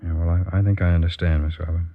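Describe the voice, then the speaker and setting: A kindly, matter-of-fact detective from an old radio play. His voice is very low and brisk, heard on a dull recording. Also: flat delivery. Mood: steady, sympathetic. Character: steady, sympathetic male police detective. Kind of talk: old-time radio drama acting.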